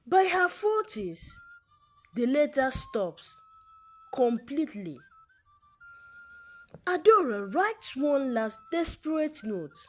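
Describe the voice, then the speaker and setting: A woman narrating a scripted folktale in a deliberate, dramatic storytelling manner. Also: high-pitched, very animated, faint music behind. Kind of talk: scripted folktale narration, reading character names before their lines.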